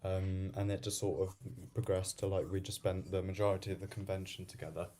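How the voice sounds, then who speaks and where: A young Welsh man, a university student, telling a story as a guest in relaxed British slang. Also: sits low, a little fast, a little hiss or hum. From Wales. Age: young adult. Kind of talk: casual chat.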